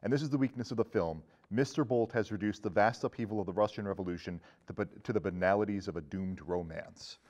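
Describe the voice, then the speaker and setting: A man's voice: on the low side, fast talker, hardly any pauses, darkish tone. A man hosting a podcast in a conversational film discussion. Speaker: podcast host.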